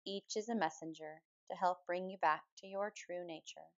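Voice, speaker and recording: A woman with a fairly low voice guides a deep relaxation practice. She speaks calmly and soothingly, giving measured instructions as a yoga nidra teacher. The recording is a little dull and muffled. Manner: calm, measured, soothing delivery. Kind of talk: guided meditation, slow spoken relaxation instruction.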